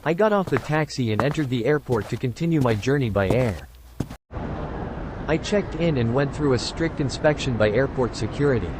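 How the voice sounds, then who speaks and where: A man's voice: mid-range voice, animated, moderate pace, noisy background. A man reading scripted first-person story narration. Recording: music bed under the voice. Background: music.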